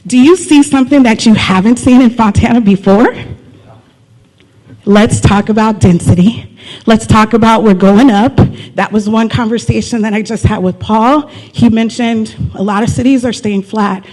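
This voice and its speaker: A presenter giving an upbeat conference pitch about a downtown, full of rhetorical questions. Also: moderate pace, darkish tone, poor audio. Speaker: city presenter.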